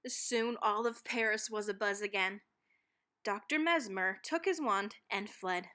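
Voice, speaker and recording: A woman reading a children's picture book aloud expressively, with dramatic, deliberate emphasis on key phrases. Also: mid-range voice, sharp tone. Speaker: read-aloud narrator.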